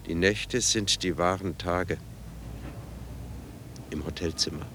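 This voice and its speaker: A man's voice: sits low, slow, sharp tone, a little hiss or hum, exaggerated voice. An actor playing an intense, theatrical man with a melancholic streak in a German radio drama. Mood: dark, poetic.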